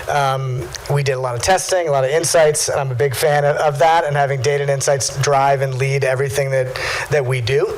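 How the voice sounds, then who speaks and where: A man with a somewhat high voice talks as an executive on a business panel. His delivery is casual and a little halting, with repeated words. Some room noise sits behind him. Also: rough recording. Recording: live event with clear background noise.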